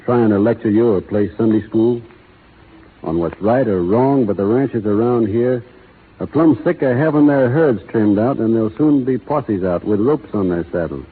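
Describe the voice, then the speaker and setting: An earnest, steady cowboy with a slow western drawl. His voice is low and husky, heard through a narrow, tinny old radio broadcast. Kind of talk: 1930s radio drama acting.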